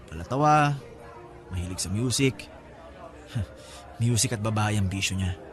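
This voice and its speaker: Actor as low-voiced breezy, teasing older brother. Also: male voice. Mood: teasing, confiding. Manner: teasing, casual, talkative. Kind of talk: Filipino radio-style drama dialogue.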